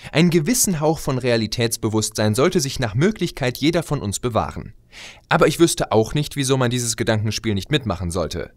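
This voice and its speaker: A man delivering a scripted opinion monologue as a video blogger, conversational and expressive with rhetorical asides. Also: medium pitch, dry recording. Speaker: video blogger and fan audio drama maker.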